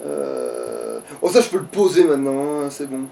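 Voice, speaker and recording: A man's voice: mid-range voice, wildly swooping pitch, normal speed, vocal fry, warm tone, recorded from a distance, slight background noise. A man chatting casually as he plays a game, crude and joking, with plenty of swearing. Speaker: let's player. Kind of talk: casual gaming commentary with a friend.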